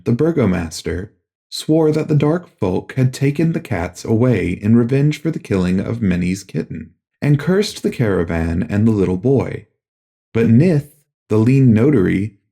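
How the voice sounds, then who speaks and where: A man narrating a gothic tale from a script as an audiobook, in a measured, solemn storytelling manner. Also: medium pitch, vocal fry, dark tone.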